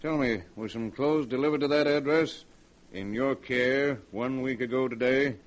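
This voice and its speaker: An actor in a 1940s radio drama playing a shrewd county coroner with a formal, deliberate American delivery. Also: male voice, medium pitch, close to an everyday voice, low-quality recording. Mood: measured, probing.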